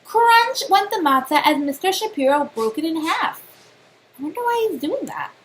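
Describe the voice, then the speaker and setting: A woman reading a children's storybook aloud like a teacher, expressive and pausing to put questions to young listeners. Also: extremely high pitch, a little hiss or hum.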